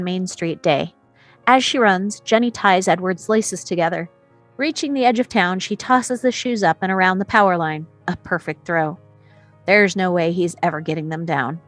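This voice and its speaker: A woman narrator reading screenplay action and scene descriptions at a table read. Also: fairly low pitch. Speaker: narrator reading stage directions.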